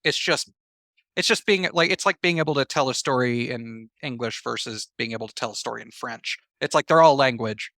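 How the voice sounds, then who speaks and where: A man's voice: mid-range voice, brisk, bright, forceful tone, dry recording, clean studio sound. A man answering interview questions in a conversational, reflective way, explaining his points through examples and comparisons. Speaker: interview guest, writer.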